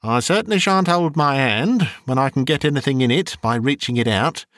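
A man voicing a coarse, greedy old charwoman who mocks the dead in brash, quick bursts. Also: medium pitch, animated, a bit bright, dry recording, studio quality, voice pushed up, natural voice. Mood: scornful.

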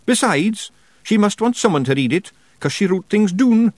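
A man's voice: high voice, expressive, moderate pace, no echo, voice pushed up. A man voicing a cocky tiny blue fairy clan chief with a broad Scottish accent and a blunt, scornful manner.

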